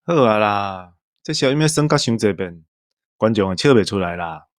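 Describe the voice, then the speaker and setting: A man's voice: lowish voice, expressive, normal speed, no echo, clean studio sound. A man with a Taiwanese accent in casual chat as a host, joking and reflective.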